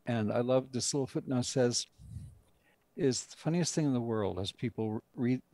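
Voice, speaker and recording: A man's voice: medium pitch, normal speed, dark tone, dry recording. An older man speaking as a writer and director in an interview over a group video call. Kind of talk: interview discussion of a radio drama.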